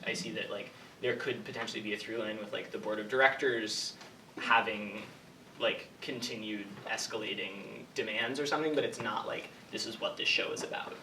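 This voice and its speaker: A young man answering questions informally as a writer, haltingly thinking aloud and hedging as he goes. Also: fairly low pitch, fairly even intonation, sharp tone. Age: young adult. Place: indoor room with an audience. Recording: echoey room from far off, clear background noise.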